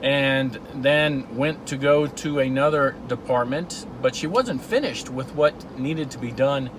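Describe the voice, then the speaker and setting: A man's voice: mid-range voice, unhurried. Middle-aged male vlogger, earnest storyteller, in-car recording with road noise. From Georgia, USA. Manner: earnest, storytelling, with repeated restarts. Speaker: vlog host. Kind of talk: casual talk while driving.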